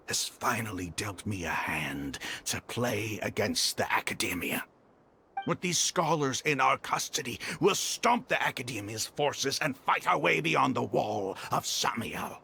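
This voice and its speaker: An actor playing a proud, hostile, zealous desert mercenary leader in a video game, scornful and grandiose in a theatrical way. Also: male voice, medium pitch, vocal fry, pushed and bright, a little hiss or hum, full character voice. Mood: grave, resolute. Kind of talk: dramatic video game voice acting. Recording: background music under the voice.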